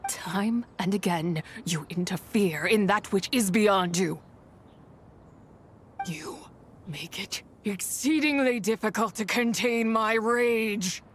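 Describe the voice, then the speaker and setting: A woman's voice: mid-range voice, takes it slowly, slight background noise, lightly acted. A woman voicing an ancient, menacing spirit in a video game, grave and imperious, with weary, archaic speech. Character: ancient, menacing female spirit of impure energy, imprisoned and weary.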